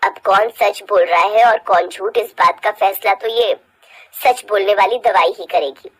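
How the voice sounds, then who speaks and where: Casting: woman as older Indian-household mother-in-law for cartoon story, authoritative and scolding yet fair, pitched down. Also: a bit bright, breathy edge. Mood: stern, accusing. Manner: measured, admonishing, authoritative.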